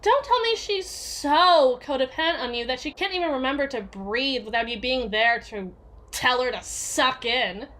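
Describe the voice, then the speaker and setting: Woman playing a high-voiced, domineering jealous yandere. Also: moderate pace, a bit bright. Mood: sardonic, teasing. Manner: sarcastic, taunting, theatrical. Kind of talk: ASMR roleplay audio drama.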